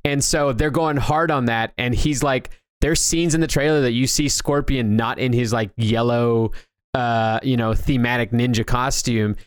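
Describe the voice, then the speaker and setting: A man's voice: mid-range voice, fairly even intonation, moderate pace, clean tone, no echo, studio quality. A man hosting a casual pop-culture discussion, talking in a loose, rambling way and often repeating himself. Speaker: podcast host. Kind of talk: casual discussion of video game movies.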